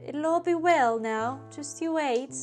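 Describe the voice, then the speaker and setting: A woman's voice: sits high, a little slow, darkish tone, soft background music, voice pushed up. A woman voicing a nervous, urgent young British man trying to sound cool and heroic in a story. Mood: soothing. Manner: stammering, urgent, faux-cool bravado.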